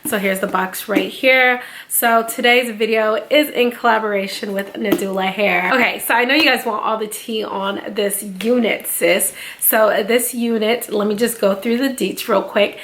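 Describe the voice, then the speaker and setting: A woman's voice: mid-range voice, fairly even intonation, moderate pace, hardly any pauses, a bit bright, slight background noise. Chatty young woman vlogger, slangy. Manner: chatty and slangy, addresses viewers as sis and y'all. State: feeling sick, voice slightly off. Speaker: beauty YouTuber reviewing a wig. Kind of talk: casual product-review vlog.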